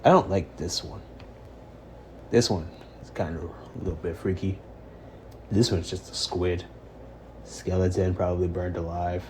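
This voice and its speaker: A man's voice: low-pitched, steady tone, a little slow, long pauses, a little hiss or hum. A man casually reacting as a video host, offhand and muttering.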